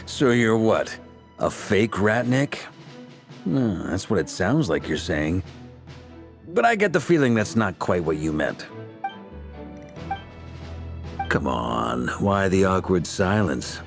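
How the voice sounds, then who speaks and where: A man's voice: deep voice, very animated, takes it slowly, creaky, soft background music, full character voice. A man playing a genial, teasing, charismatic knight commander in a video game, with a jovial, theatrical manner. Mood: amused, teasing.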